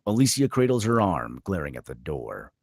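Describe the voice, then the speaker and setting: A man with a very low voice narrates a screenplay. His delivery rises and falls animatedly, and the sound is dry and close. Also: sing-song, normal speed, dry recording. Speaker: narrator of stage directions. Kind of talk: scripted narration at a screenplay table read.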